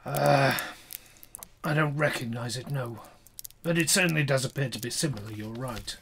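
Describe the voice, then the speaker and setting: A man's voice: medium pitch, moderate pace, creaky, fairly bright tone. An actor playing a polite but evasive male archaeologist in an audio drama. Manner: polite, hesitant, faintly evasive. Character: genial middle-aged male archaeologist, evasive. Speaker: academic.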